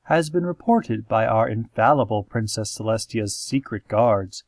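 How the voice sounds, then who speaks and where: A man playing a solemn, eerie pony radio host, reading scripted parody news in a measured, formal deadpan. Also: fairly low pitch, vocal fry, no echo, studio quality.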